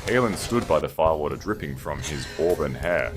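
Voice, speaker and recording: Male narrator, low voice, dramatic scripted storytelling over prominent music. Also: expressive, fairly quick.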